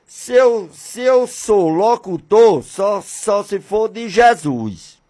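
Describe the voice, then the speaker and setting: Slow, fervent older Brazilian radio preacher. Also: male voice, high-pitched, expressive, warm tone. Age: 60s. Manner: fervent, repetitive rhetorical questions. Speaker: radio presenter. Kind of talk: religious radio talk.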